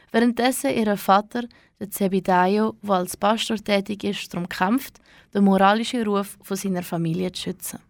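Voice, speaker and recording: A woman's voice: medium pitch, a little mellow, no echo, studio quality. A woman presenting a podcast, reading a scripted, explanatory introduction to a radio play.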